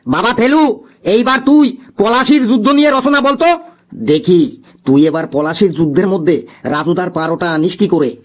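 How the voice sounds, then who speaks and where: A man voicing an excitable, easily exasperated schoolteacher in a comic cartoon, exclaiming and repeating himself. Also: high voice, brisk. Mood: scheming.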